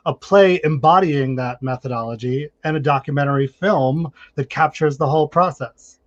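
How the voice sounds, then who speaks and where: Guy hosting an online event intro, bit higher voice, kinda dull dry audio. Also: dry recording. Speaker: event moderator introducing guests. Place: online video call.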